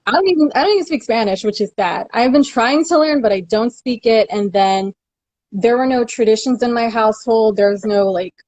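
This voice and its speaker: A woman from the United States, a guest on a video call, talking in an upbeat, quick way with frequent "like" and "you know". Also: fairly high pitch, animated, darkish tone, no room sound, poor audio. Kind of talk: casual video call chat.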